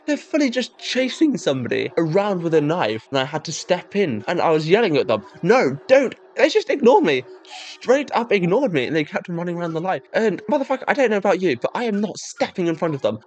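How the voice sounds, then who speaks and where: A young man ranting sarcastically and with exasperation to his audience, breaking into sudden high-pitched outbursts. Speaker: vlogger. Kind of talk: comedic rant to camera.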